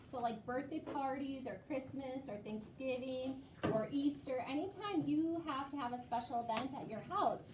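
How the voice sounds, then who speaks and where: A woman teaching young children in a classroom, explaining in an instructive way and checking in with questions. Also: on the high side. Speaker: elementary school teacher. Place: indoor classroom. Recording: roomy, distant, noisy classroom.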